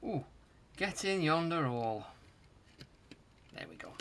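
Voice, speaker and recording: A man's voice: fairly high pitch, big pitch swings, measured pace, a bit bright, a little hiss or hum. A man giving a casual hands-on repair commentary, muttering to himself in short fragments as he works. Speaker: host of a retro tech repair channel.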